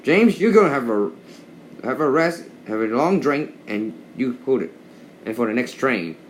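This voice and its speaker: A man voicing a pleased, authoritative railway controller in a children's storybook, speaking haltingly. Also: mid-range voice, expressive, slight background noise, natural voice, rough recording. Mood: approving. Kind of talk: children's storybook read-along.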